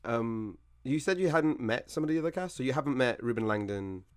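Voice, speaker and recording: A man's voice: medium pitch, lively intonation, a little fast, smooth, dry recording, studio quality. A man hosting an interview, asking casual questions and giving short encouraging replies. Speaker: interview host.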